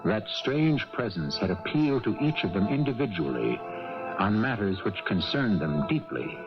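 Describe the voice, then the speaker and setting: A man narrating an old radio mystery as host. His low voice is formal and theatrical with sweeping intonation, and music plays clearly behind him. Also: sing-song. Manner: dramatic, formal, measured phrasing. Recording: dull old radio broadcast.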